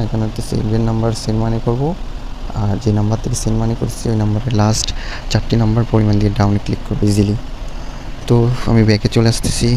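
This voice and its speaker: A Bangladeshi man giving a casual app tutorial, explaining step by step in a repetitive, halting way. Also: fairly low pitch. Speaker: tech tutorial YouTuber. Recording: noisy, steady vehicle-like background hum. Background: clear background noise.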